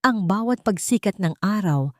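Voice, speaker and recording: A woman's voice: mid-range voice, expressive, moderate pace, a little mellow, dry recording, studio quality. A woman reading a dramatic scripted story as its narrator.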